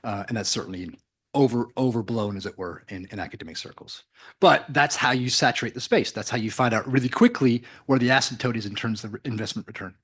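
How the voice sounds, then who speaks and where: Fast-talking husky-voiced man. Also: medium pitch, a bit bright. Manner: fast, emphatic, repeats words when starting. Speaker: panelist at an industry forum. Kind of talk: conversational panel discussion.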